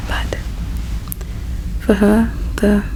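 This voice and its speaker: A woman playing a gentle, caring alien in an ASMR roleplay, speaking in a slow, hushed, tender and wistful way with a breathy quality. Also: medium pitch, monotone, slight background noise, rough recording. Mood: wistful, reflective. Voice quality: breathy whisper with creaky edges. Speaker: ASMR performer. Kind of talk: ASMR roleplay, whispered and soft-spoken.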